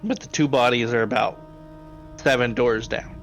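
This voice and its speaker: A man's voice: mid-range voice, moderate pace, fairly bright tone, soft background music. A man running a tabletop game as game master, describing scenes in a matter-of-fact, conversational way. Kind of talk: casual tabletop game narration.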